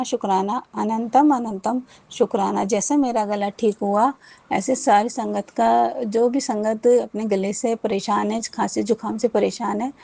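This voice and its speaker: A woman tells a personal devotional story in a fairly high voice. She rambles, restarts her sentences often and repeats her thanks again and again. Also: vocal fry, a little mellow. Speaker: devotee sharing a testimony. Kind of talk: personal testimony.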